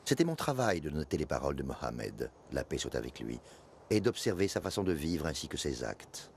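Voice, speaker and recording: An actor playing a devout, solemn companion of the Prophet, telling of hardship in grave, measured animated-documentary narration. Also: male voice, extremely low pitch, a little hiss or hum, heavily acted. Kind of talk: dramatized first-person narration for an animated documentary.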